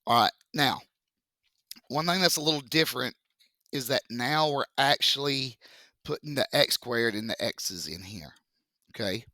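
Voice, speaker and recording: Male teacher with a froggy voice; slow, jokey, bright and forceful tone. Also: medium pitch, animated, no echo, clean studio sound. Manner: joking, chatty, slow step-by-step explaining. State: deep froggy voice from a cold. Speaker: math teacher. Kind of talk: casual classroom lesson video.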